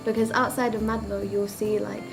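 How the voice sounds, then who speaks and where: Teen girl, chatty with lots of "like", flat even delivery, music under it. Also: mid-range voice, brisk, creaky. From Oldham, England. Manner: chatty, many fillers of "like". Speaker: youth council member. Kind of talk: casual group interview.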